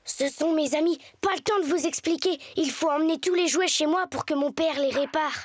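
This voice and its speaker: A woman as a very high-pitched plucky little boy. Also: fairly quick, dry recording, studio quality, close to an everyday voice. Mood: friendly. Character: plucky, kind little boy turned wooden puppet. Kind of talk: French animated series dub acting.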